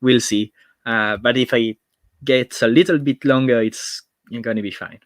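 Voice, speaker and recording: A man's voice: mid-range voice, measured pace, dry recording. A young man from France with a French accent, giving an online technical presentation with many fillers and restarts. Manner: hesitant, frequent fillers and restarts. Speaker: conference speaker. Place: home room.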